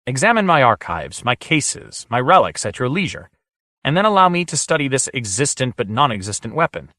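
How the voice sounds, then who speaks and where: A man reading an audiobook, voicing a proud lord with a nasal, shrill voice who speaks in an insistent, pressing way. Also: brisk, a bit bright, no echo, clean studio sound, lightly acted. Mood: persuasive. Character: proud, urgent male lord, pleading then arguing.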